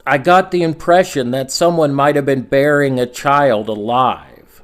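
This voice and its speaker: A man narrating scripted horror commentary as a host, in a measured storytelling manner with rhetorical asides. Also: mid-range voice. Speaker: video essay host and narrator.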